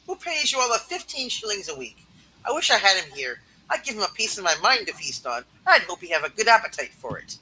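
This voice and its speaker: A woman's voice: mid-range voice, very animated, quick pace, bright, forceful tone, slight background noise, low-quality recording. A woman playing a fussing, fiercely protective working-class mother, theatrical and exclaiming, in a radio play reading. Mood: indignant.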